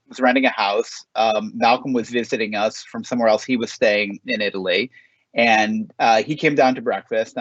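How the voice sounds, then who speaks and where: A man on a webinar telling a short story about starting a company, measured and steady with a few ums. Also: mid-range voice, no room sound, highs cut off. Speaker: podcast company co-founder, guest. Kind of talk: anecdotal conversational answer. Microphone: remote webinar call.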